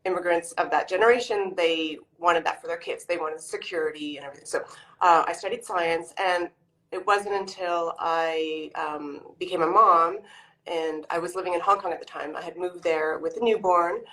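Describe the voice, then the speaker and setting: Canadian woman guest on a video call, lowish voice, lots of ums and restarts, chatty. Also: fairly even intonation, moderate pace, a bit bright, low-quality recording. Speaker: author guest. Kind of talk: casual interview over video call.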